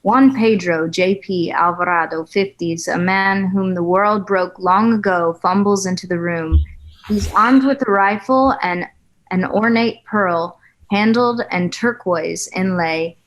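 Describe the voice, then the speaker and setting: A woman reading screenplay stage directions at a table read in an even, measured way. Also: mid-range voice, rough recording.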